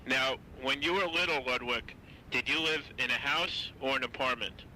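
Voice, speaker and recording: A man leads a family interview in a very bright, forceful voice. He asks short questions and echoes the answers back before moving on. Faint noise hums behind him. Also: mid-range voice, moderate pace.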